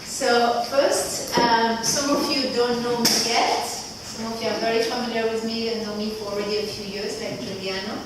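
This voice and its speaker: A woman's voice: fairly high pitch, fairly even intonation, normal speed, hardly any pauses. A woman in her forties from Switzerland with a French accent, giving a casual workshop talk to a group in a chatty, loose way full of "like" fillers. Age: 40s. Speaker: workshop facilitator. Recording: echoey room, distant mic, background noise.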